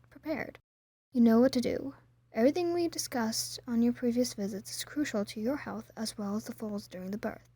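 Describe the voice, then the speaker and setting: A woman voicing a caring pony nurse in an audio story, professional, polite and calmly instructive. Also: fairly high pitch, no echo, lightly acted. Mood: reassuring.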